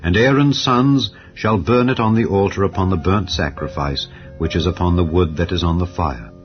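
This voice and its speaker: A man reading scripture aloud in a slow, measured, solemn way, as narrator of a dramatized recording. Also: low voice, muffled recording. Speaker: Bible narrator. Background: soft ambient music.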